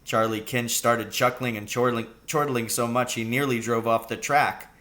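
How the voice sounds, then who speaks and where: A man reading a children's story aloud as narrator, in an expressive storytelling style. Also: medium pitch, moderate pace. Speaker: audiobook reader.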